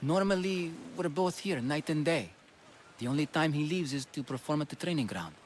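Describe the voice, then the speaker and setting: An actor playing a gushing, lovesick ancient Greek athlete in a video game, fretful and theatrical. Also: male voice, fairly high pitch, lively intonation, moderate pace, a little hiss or hum, close to an everyday voice. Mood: dreamy, adoring. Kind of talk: video game cutscene acting.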